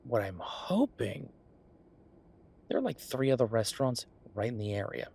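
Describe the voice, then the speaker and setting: Man acting an easygoing, husky chef. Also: mid-range voice, big pitch swings, normal speed, darkish tone, full character voice. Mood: earnest, casual. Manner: conversational, musing, explanatory. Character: easygoing male chef planning restaurant business with his partner. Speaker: voice actor in audio roleplay. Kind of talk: one-sided roleplay acting, casual chat.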